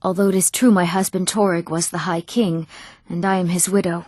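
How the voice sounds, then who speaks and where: A woman acting an eager, earnest young woman in a fantasy video game, speaking her lines clearly and a little theatrically. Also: fairly low pitch, flat delivery, a little fast, darkish tone, dry recording, studio quality, close to an everyday voice. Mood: formal, resolute. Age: young adult. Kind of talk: scripted fantasy game dialogue.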